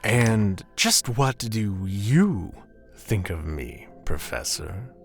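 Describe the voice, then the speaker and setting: A man playing a suave, teasing demon who poses as a student and speaks with theatrical politeness in an animated roleplay. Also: on the low side, extremely slow, soft background music, heavily acted. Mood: mocking. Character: smug, suave male demon posing as a student. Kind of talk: scripted animated roleplay acting.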